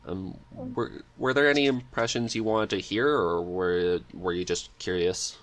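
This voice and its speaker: A man's voice: low voice, a little slow, a bit bright. A man talking casually as a podcast guest, chatty and eager in manner.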